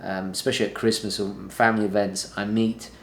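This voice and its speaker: A man's voice: lowish voice, flat delivery, brisk, a bit bright, a little hiss or hum. A young man talking to camera from his home study, earnest and emphatic, often restarting and repeating words as he makes a point. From born in London, early childhood in northern Italy. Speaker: lifestyle vlogger. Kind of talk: casual talk to camera. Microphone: camera at desk distance. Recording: echoey room.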